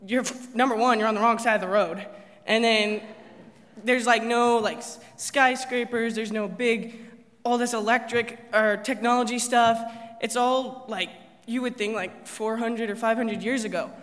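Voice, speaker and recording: A man's voice: extremely high pitch, steady tone, moderate pace, a bit bright. A man joking and rambling, with many restarts, as he tells his church about a mission trip. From born in Uganda, raised in America. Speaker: church member giving a mission trip report. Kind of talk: informal testimony to a congregation. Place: church sanctuary. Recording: long echoey hall reverb.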